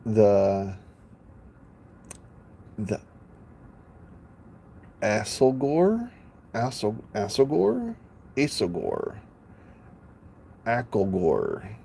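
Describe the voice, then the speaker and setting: Slow-talking gravelly male streamer. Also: on the low side, huge pitch swings, mellow, a little hiss or hum. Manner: playful, laughs often, stumbles over names. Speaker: live streamer. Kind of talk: casual live-stream chatter.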